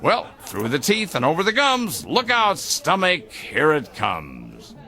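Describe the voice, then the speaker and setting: An actor playing a jovial, pompous boss cutting loose over drinks, with an expansive, playfully grandiose theatrical delivery. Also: male voice, fairly high pitch, wildly swooping pitch, slow, gravelly, sharp tone, full character voice. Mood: gleeful. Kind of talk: theatrical radio drama acting.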